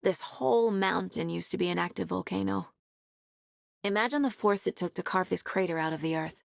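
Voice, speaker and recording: A woman playing a focused, determined federal investigator in a video game, with an understated, introspective delivery and a husky voice. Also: fairly low pitch, brisk, no room sound, clean studio sound. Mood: thoughtful, focused. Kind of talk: video game character voice lines.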